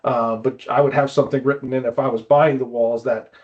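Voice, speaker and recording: A man's voice: mid-range voice, fairly even intonation, a little fast, dull audio. A man speaking as a trade expert guest in a casual interview, explaining practical details and often repeating words as he goes. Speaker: guest, climbing wall builder and consultant.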